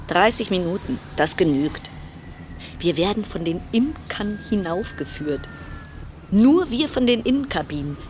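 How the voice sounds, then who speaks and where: A woman playing a wry, chatty cruise passenger travelling alone, musing with dramatic pauses in a radio play monologue. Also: mid-range voice, slight background noise, natural voice.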